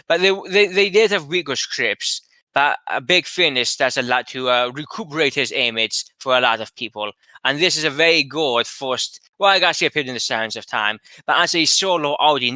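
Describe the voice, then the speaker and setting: A man co-hosting a casual chat, lively and animated, often restarting while he searches for words. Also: medium pitch, dry recording. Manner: animated, rising into excited retelling. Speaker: podcast co-host.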